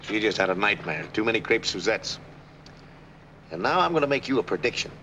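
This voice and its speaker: An actor playing a skeptical, dry private detective in a 1940s film, heard on a hissy old soundtrack. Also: male voice, lowish voice, expressive, a little fast, sharp tone, dull audio. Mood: wry, knowing. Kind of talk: 1940s film noir acting.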